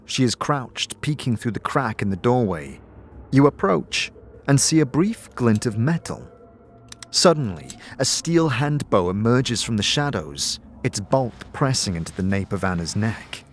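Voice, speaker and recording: A man narrating a scripted fantasy story in a measured, dramatic way, addressing the listener as "you". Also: mid-range voice, animated, slight background noise.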